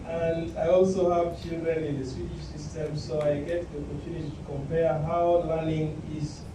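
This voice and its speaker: A man from Kenya speaking on a conference panel, explaining his organization's work in a measured way with a few fillers. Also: fairly high pitch, level tone, noisy background. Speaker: panelist from a public ICT organization. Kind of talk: panel talk. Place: conference hall. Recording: echoey hall, far from the mic.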